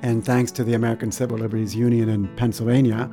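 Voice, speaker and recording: A man from Cuba talks about his life in an easy, good-humored way. Clear guitar music runs beneath his voice. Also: medium pitch, level tone, fast. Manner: warm, good-humored, laughs lightly. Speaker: interviewee. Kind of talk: personal storytelling.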